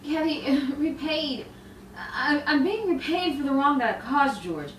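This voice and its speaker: An actress playing a tormented, guilt-ridden, sleepless woman in a halting, anguished melodramatic style. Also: sits high, lively intonation, fairly bright tone. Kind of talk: 1950s-style romantic radio drama acting. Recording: roomy, distant, faintly noisy stage sound.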